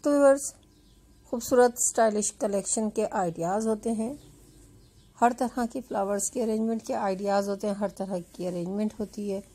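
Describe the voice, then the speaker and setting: A woman's voice: medium pitch, moderate pace, warm tone, breathy edge. A woman host giving a chatty, repetitive voice-over, addressing her viewers as friends. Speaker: video channel host.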